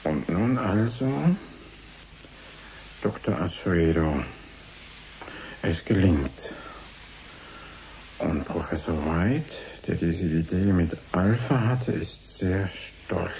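An actor playing a mysterious, knowing man in a radio-drama monologue, hushed, deliberate and brooding. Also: low voice, lively intonation, a little hiss or hum, heavily acted, rough recording. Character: mysterious, knowing man addressing unseen visitors.

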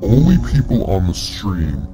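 Very deep man through a voice changer. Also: wildly swooping pitch, measured pace. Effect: voice changer, deep monster-like pitch shift. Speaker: game streamer. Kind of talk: live gameplay commentary, casual chat. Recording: game music behind the voice.

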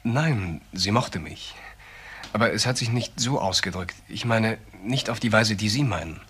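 An actor playing an earnest, defensive young ex-convict in a crime radio drama, explaining himself haltingly. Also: male voice, fairly low pitch, a bit bright, husky. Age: young adult. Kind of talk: naturalistic German crime radio drama acting.

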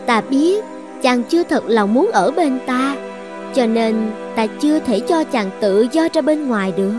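A high-pitched young enchantress rules her island in a fairy-tale cartoon. She is possessive and sure of herself, sliding between sweet coaxing and threats, with music behind her. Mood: commanding. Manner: commanding, self-assured.

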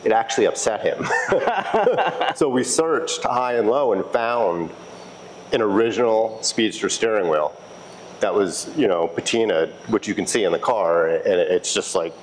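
A man speaking as a restoration technician in a casual interview, explaining in a halting, conversational way and repeating phrases. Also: on the low side, low-quality recording. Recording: clear steady background noise.

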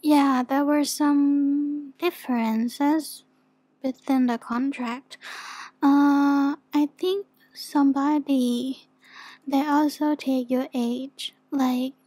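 A woman acts out a timid young female demon in an ASMR roleplay. Her voice is soft and fairly high, sometimes whispery, in a close, dry recording. She speaks hesitantly and very slowly, stumbling shyly over her words. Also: dry recording. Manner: hesitant, stammering, apologetic. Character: soft, shy, flustered young female demon offering contracts.

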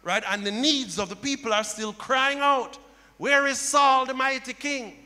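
A middle-aged male pastor preaching a sermon on a church stage into a handheld mic, building to loud impassioned cries. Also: extremely high pitch, unhurried. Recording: long hall reverb.

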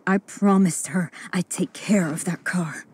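Husky young woman. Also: fairly low pitch, steady tone, unhurried. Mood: grieving. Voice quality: husky, breathy. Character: grieving, loyal young woman, vengeful gang mechanic. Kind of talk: dramatic video game acting.

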